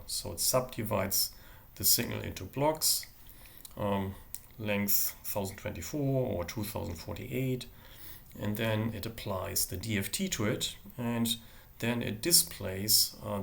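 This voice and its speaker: Low-pitched male lecturer with a creaky voice. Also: a bit bright, slight background noise. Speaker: university lecturer. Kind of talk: lecture with live software demonstration.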